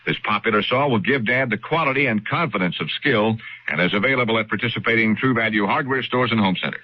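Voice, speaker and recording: A man's voice: on the low side, fast, a little hiss or hum. A man reading a scripted radio advertisement as an announcer. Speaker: commercial announcer. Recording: dull lo-fi radio recording.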